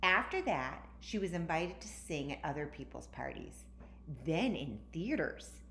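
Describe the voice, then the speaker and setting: A woman reading a children's story aloud with animated, emphatic narration. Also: sits low. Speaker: storytime reader. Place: indoor room, recorded at home. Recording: roomy, echoey, faint background noise.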